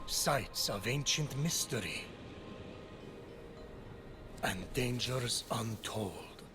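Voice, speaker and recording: An actor playing a grave, patient storyteller of ancient myth, narrating a video game in a measured way over music. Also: male voice, medium pitch, lively intonation. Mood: solemn. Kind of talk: dramatic scripted game narration. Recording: music behind the voice.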